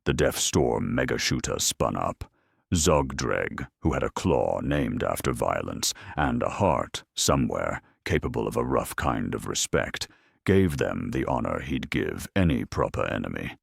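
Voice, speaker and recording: A man narrating a grimdark war story in a grave, measured, dramatic way with wry deadpan humour. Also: extremely low pitch, gravelly, husky, dry recording, studio quality.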